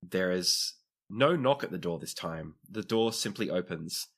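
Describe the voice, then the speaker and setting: A man with a deep voice runs a tabletop role-playing game. He narrates scenes as game master in a conversational, descriptive storytelling style. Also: lively intonation, a little slow, a bit bright.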